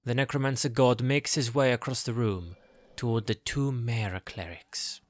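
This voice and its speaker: A man's voice: mid-range voice, normal speed, dry recording. Man narrating fantasy drama, close and dry.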